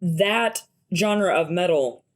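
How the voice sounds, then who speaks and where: A woman's voice: fairly low pitch, expressive, slow pace. A woman, chatting casually and telling stories as a podcast co-host. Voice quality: husky smoker's voice. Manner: chatty, laughs easily, storytelling. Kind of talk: casual chat.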